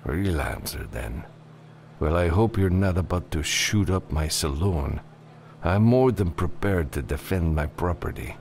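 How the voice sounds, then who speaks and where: A man's voice: sits low, lively intonation, a little slow, darkish tone, a little hiss or hum. A man voicing a large, wary male bartender in an audiobook, blunt and offhand. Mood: amused, wary.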